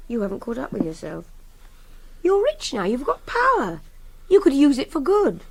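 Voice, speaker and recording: A defiant young English woman in a radio drama, articulate and hectoring. Her voice sits high and swings widely in pitch as she argues with impatient superiority. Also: huge pitch swings, normal speed, gravelly, darkish tone, a little hiss or hum, full character voice, poor audio. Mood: insistent. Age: young adult.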